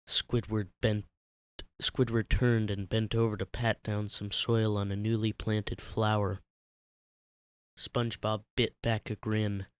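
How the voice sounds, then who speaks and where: A man's voice: lowish voice, smooth, no echo. A man narrating fan fiction as a dramatic scripted reading.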